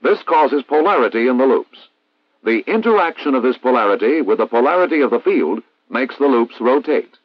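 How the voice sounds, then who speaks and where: An American man reading a scripted instructional narration in a formal, measured, didactic way, as in a 1960s military training film. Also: medium pitch, lively intonation, dry recording. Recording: dull vintage 1960s film soundtrack.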